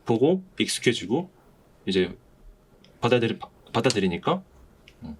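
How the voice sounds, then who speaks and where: A man's voice: mid-range voice, sing-song, normal speed, a little hiss or hum. A man hosting a casual podcast discussion, speaking in an earnest, hesitant way. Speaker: podcast host.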